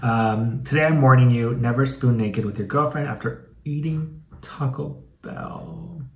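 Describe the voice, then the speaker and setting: A man hosts a comedic reading in a casual, campy style. His medium-pitched voice is sassy and slangy, with swearing mixed into the commentary. The recording is roomy, with noticeable echo. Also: unhurried, background noise. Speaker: YouTube host.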